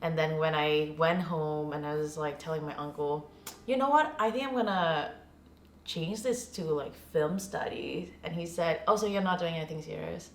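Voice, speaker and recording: A woman's voice: deep voice, normal speed, slight background noise. A young woman from Vietnam, a podcast guest, chatting casually with lots of fillers and laughter. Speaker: guest filmmaker. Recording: roomy studio echo.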